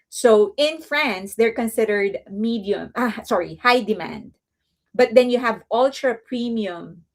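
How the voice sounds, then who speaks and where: A woman with an accent, hosting a casual fan news livestream, chatty and rambling, often laughing. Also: mid-range voice. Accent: non-native English accent. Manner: speaks softly and coos to a baby at times. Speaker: host of a fan news channel.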